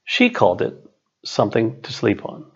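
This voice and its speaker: A middle-aged man, an author and coach, reads his own written text as scripted narration in a measured, deliberate way. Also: lowish voice, wildly swooping pitch, gravelly, husky, highs cut off. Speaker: author, business and leadership coach.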